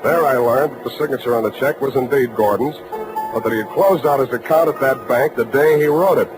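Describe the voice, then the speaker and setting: An actor playing a tough, wry, world-weary private detective in a 1940s radio drama, speaking tersely on a noisy vintage recording. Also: male voice, medium pitch, brisk, over music, natural voice. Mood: dry narrating. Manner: hard-boiled, terse, wry delivery.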